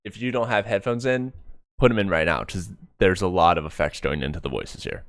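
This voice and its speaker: A man doing casual live reaction commentary as a streamer, excitable, joking and prone to swearing. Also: low voice, a little fast, no room sound, clean studio sound, faint music behind. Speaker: streamer reacting to a fan motion comic.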